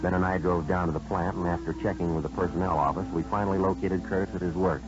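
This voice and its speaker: An actor playing a businesslike American detective sergeant in a radio drama, clipped, deadpan and matter-of-fact as he narrates his cases. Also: deep voice, fast, natural voice. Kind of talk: 1950s radio drama acting, scripted narration. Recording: dull vintage radio recording, music behind.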